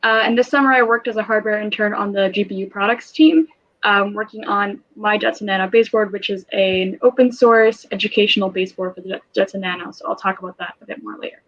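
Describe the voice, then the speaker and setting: Fast-talking young woman, dull lo-fi audio. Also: medium pitch, a bit bright. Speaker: intern. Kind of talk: casual self-introduction.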